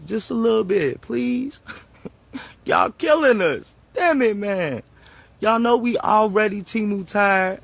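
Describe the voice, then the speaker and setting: Male, high voice with gravelly creak, playfully exasperated delivery worker, slangy and pleading, casual direct address. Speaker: delivery driver. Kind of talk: casual comic rant to camera.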